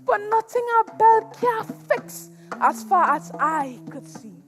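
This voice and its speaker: A woman's voice: extremely high pitch, very animated, slow, heavily acted. A Trinidadian woman playing an embittered former slave turned restless spirit, delivering a rhyming dramatic monologue on stage in a theatrical, anguished manner. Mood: indignant. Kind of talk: dramatic spoken-word stage monologue in rhyme. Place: stage at a competition in a performance hall. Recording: live stage performance with music.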